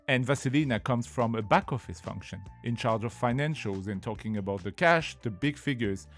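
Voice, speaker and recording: Playful French-accented podcast host, man. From France. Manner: playful, lively delivery with sudden pitch jumps. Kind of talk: scripted podcast intro and interview.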